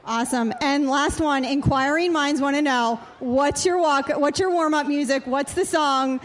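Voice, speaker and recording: High, upbeat female field reporter interviewing a player after the game. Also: normal speed, slight background noise. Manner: upbeat, chatty questions. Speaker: sideline reporter. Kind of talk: postgame interview.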